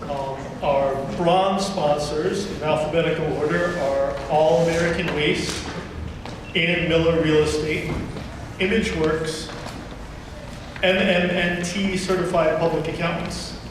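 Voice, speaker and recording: A man addresses an event crowd, his voice pitched a little high and full of hesitations. He sounds distant from the microphone, with a long, roomy reverb from a large hall and steady noise behind him. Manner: halting, many fillers. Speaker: chairman of the board. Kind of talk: event speech. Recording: echoey hall from far off.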